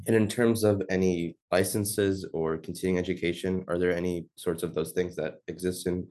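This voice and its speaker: A man with a very low voice hosts a podcast interview. He reads out prepared questions quickly. He slips casual "like" fillers into his phrasing. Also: little pitch movement, darkish tone.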